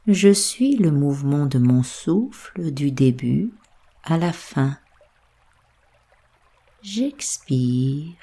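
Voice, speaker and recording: Low, breathy woman guiding sleep meditation slowly. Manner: hushed, soothing, unhurried instruction with pauses. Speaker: guided meditation teacher. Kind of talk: slow guided yoga nidra sleep meditation.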